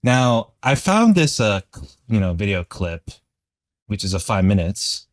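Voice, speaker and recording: A man with a fairly low voice talks through casual commentary, recorded dry and close with little room sound. He rambles hesitantly, working out his thoughts as he goes like an online video commentator. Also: sing-song, a little slow, dry recording.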